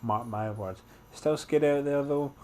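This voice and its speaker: A man's voice: medium pitch, moderate pace, mellow. A man hosting a gameplay walkthrough, commenting and reading aloud in an informal way.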